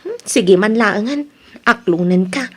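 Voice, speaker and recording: Woman acting a coy, husky young village maiden. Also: mid-range voice, lively intonation, a little slow. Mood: softening. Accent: Ilocano Filipino. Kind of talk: Ilocano radio comedy drama acting.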